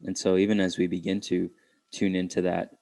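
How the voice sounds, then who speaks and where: Man, very flat intonation, low voice, reflective meditation guide, halting phrases, dry close sound. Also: creaky, dry recording.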